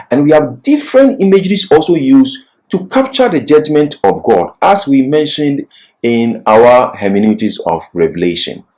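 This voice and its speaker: A male Bible teacher delivers a scripture lecture with a very animated, swooping intonation. His voice sits at a middle pitch but rises and falls sharply as he stresses points. He teaches emphatically, often putting questions and then answering them.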